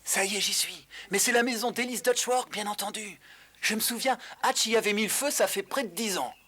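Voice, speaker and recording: A man playing a bold, teasing young adventurer in a French cartoon dub, with cocky, animated delivery. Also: sits high, a little fast, slight background noise. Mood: wary, musing.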